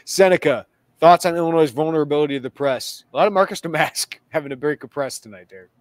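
A man's voice: fairly high pitch, fast, dry recording. A man in his thirties hosting a sports podcast, reading out viewer questions in a chatty, joking way. He talks from a small room at home over a video call, wearing earbuds. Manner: chatty and joking, laughs between lines. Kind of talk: casual sports talk. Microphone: earbuds with a webcam on a video call.

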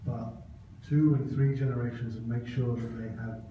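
A man's voice: mid-range voice, flat delivery, moderate pace, slight background noise. A middle-aged man who designed the plan, earnestly presenting it at a town hall. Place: large meeting room, indoors. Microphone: handheld mic. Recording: dull echoey hall, taped from far off.

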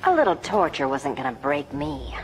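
A woman's voice: low-pitched, very animated, normal speed, background noise. An actress playing a sly, cocky female cat-burglar vigilante in a video game, with a teasing, purring delivery. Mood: defiant.

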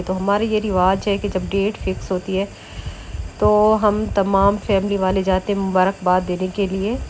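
A middle-aged woman, chatting through a vlog voice-over with a sore, hoarse throat, coughing now and then and pausing often. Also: fairly low pitch, darkish tone, a little hiss or hum, low-quality recording. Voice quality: coughs between phrases. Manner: chatty, explanatory, with many pauses and fillers. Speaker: vlogger.

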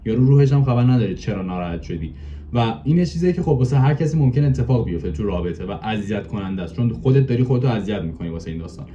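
A man's voice: fairly low pitch, lively intonation, mellow, a little hiss or hum. A man in his twenties chatting casually as a podcast guest, explaining in colloquial speech and repeating words for emphasis. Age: 20s. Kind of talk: casual chat. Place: living room, indoors. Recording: dull, muffled video call audio.

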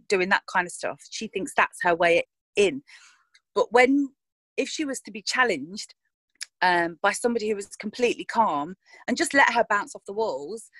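A woman speaks frankly and casually about her character, often restarting her sentences as she thinks aloud. Her voice sits at a middle pitch. The sound is dry and close, with no room around it. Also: fairly bright tone, no room sound. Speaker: actress interviewed about her roles. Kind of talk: casual interview chat.

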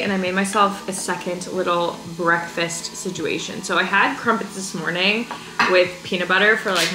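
Young female fitness vlogger, chatty and upbeat, filmed from a few feet off in a roomy apartment. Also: medium pitch. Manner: chatty, upbeat, lots of "like" fillers. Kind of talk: casual vlog chat to camera. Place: home kitchen and living room. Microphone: camera on counter, speaking from a few feet away. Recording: roomy apartment sound with music underneath.

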